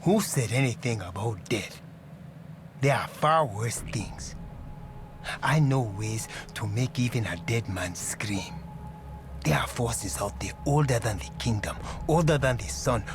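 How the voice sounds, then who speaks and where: An actor playing a menacing, cold-blooded dealer in a video game, speaking deliberately and threateningly. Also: male voice, mid-range voice, expressive, lightly acted. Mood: quiet menace. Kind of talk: dramatic video game voice acting. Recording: music behind the voice.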